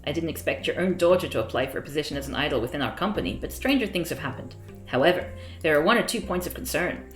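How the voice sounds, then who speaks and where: A woman's voice: sits low, expressive, echoey room, faint music behind. Woman reading as a cool, condescending company president. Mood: cool, formal. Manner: measured, formal and condescending.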